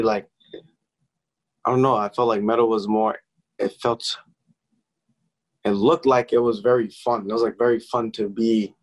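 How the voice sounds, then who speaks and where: A nineteen-year-old male musician talking casually in an interview, halting, with many fillers and restarts. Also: mid-range voice, rough recording. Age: young adult. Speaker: musician guest.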